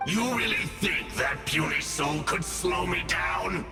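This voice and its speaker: A man voicing a haughty, contemptuous monster villain in a video game, gravelly and theatrical. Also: high voice, slow, exaggerated voice. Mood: cold contempt. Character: menacing, contemptuous male abyssal monster villain. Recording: echoing voice under loud music.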